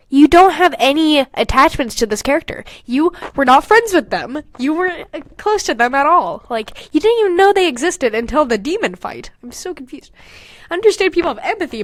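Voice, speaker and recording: A woman's voice: high voice, no echo. A young woman podcast host casually reading aloud with comedic commentary, swearing freely and mocking her own material in exasperated asides.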